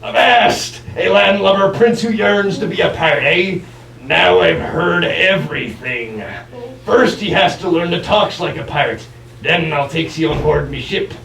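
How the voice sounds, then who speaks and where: A man's voice: sits high, unhurried, vocal fry, fairly bright tone, heavily acted. A man playing a blustering, bossy pirate captain in a children's stage play, growling and barking exclamations in pirate dialect. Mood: scornful, blustering. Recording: roomy stage, distant mic, faint noise.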